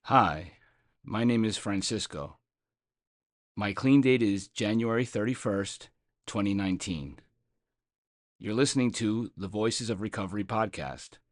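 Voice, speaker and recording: A man's voice: fairly low pitch, a little slow, long pauses, no room sound. Man reading a reflection, dry close sound. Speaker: guest reader on a recovery podcast. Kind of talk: scripted reading of a daily meditation.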